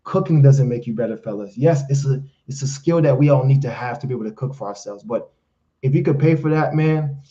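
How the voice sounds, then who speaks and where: A man's voice: mid-range voice, fairly quick, warm tone, muffled recording. A man whose first language is Haitian Creole, hosting a live Q&A as a life coach, giving emphatic, preachy advice and calling listeners fellas and brother. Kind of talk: live-stream Q&A, motivational advice.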